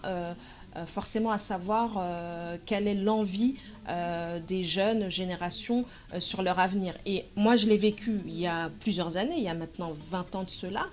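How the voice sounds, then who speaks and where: A woman of about forty from France, answering interview questions in a measured, hesitant way with frequent pauses and fillers. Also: medium pitch. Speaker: interview guest, association president.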